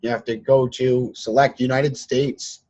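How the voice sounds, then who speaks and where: A hoarse man with laryngitis hosting a live group call, coaching students in a blunt, lecturing way. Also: mid-range voice, flat delivery, measured pace, dark tone. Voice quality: husky, strained. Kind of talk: casual coaching chat. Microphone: online call. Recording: dull lo-fi sound.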